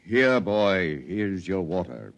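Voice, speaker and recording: A man's voice: deep voice, very animated, slow pace, vocal fry, muffled recording, exaggerated voice. A man playing a dying, wild-bearded old prophet with a solemn, biblical way of speaking in a 1950s radio drama. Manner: solemn, biblical preaching cadence.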